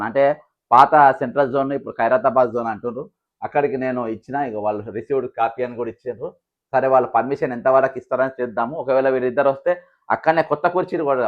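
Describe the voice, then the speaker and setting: The sound is narrow and thin, like a phone. A man with a middle-pitched voice and a Telangana Telugu accent speaks as an activist host. He gives political commentary straight to viewers, setting out complaints critically, one after another. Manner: critical, listing grievances point by point. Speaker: political activist hosting his own channel.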